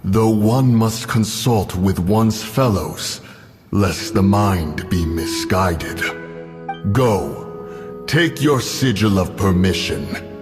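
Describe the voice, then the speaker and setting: An actor playing a proud, solemn immortal guardian beast in a fantasy game, speaking in pompous, archaic, deliberate phrases. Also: male voice, sits low, animated, soft background music, heavily acted. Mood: solemn, stately. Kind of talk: theatrical fantasy game voice acting.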